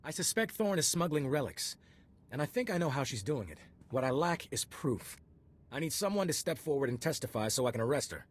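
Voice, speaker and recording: An actor voicing a cynical, officious customs agent questioning someone in a video game, with a husky voice. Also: male voice, medium pitch, very animated, a little fast. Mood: probing, official.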